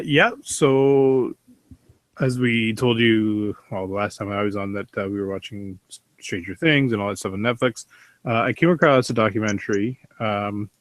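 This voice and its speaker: A man's voice: lowish voice, no room sound. A man chatting casually as a panelist on a podcast. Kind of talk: casual chat.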